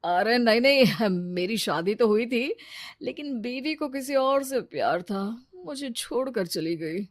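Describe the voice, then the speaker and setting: A woman's voice: fairly high pitch, animated, a little slow, no room sound, clean studio sound. A woman voicing an arrogant, fickle husband in a cartoon story, brash and selfish. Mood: smitten. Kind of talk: Hindi cartoon story dialogue.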